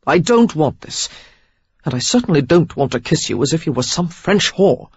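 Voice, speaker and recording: A woman's voice: deep voice, very animated, moderate pace, darkish tone, breathy edge, no echo, heavily acted. A woman narrator voicing a stern, reproachful young man in an audiobook, speaking in clipped, chiding tones. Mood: stern, outraged. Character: stern, prudish young man, easily outraged. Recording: slightly dull lo-fi recording.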